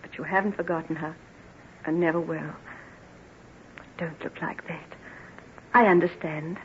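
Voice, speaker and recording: An actress playing a devoted, self-effacing plain woman in love, emotional and halting, in an old-time radio drama. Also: sits low, fairly even intonation, a little hiss or hum. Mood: quiet, devoted. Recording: muffled, rough old radio recording.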